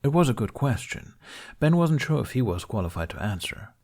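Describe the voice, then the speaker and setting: A man's voice: mid-range voice, big pitch swings, brisk, a little mellow. A man reading scripted fiction as an audiobook narrator.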